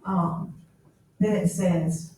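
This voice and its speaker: Echoey, slow-paced woman reading aloud and explaining. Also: on the low side, steady tone, dark tone. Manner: explanatory, checking in with "okay?". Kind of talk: read-aloud of a classic novel with explanatory commentary. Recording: echoey room, distant mic, faint noise.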